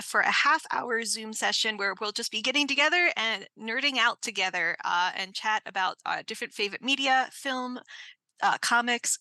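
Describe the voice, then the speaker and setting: A woman's voice: a little high, sharp tone, no room sound. A woman hosting an online panel, speaking in a polite, appreciative way as moderator. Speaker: host, moderator.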